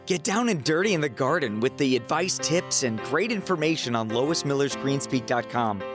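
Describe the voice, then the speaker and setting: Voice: medium pitch, expressive, normal speed. An announcer delivering a scripted advertisement. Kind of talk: scripted ad read. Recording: prominent guitar music under voice.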